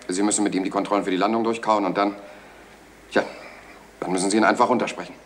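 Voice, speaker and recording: Deep, brisk actor as a serious official. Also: male voice, fairly even intonation, a little hiss or hum, close to an everyday voice. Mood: urgent. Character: brisk, serious male official: detective or tower expert. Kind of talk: older German television film acting.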